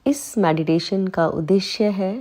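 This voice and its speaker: A woman leading a guided meditation, calm and soothing, giving unhurried instructions with long pauses between phrases. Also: medium pitch. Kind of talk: slow guided meditation in Hindi.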